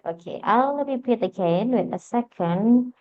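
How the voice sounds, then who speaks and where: A woman's voice: on the high side, animated, measured pace. A woman with an Indonesian accent teaching English in a casual online class, chatty and switching between languages. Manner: casual, chatty, laughs often. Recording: dull lo-fi online call audio.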